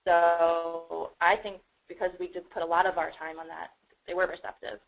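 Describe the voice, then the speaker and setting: A woman's voice: on the low side, level tone, normal speed, vocal fry, rough recording. A woman speaking as a webinar panelist, giving conversational explanations with frequent hesitant ums. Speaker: panelist, climate workshop facilitator.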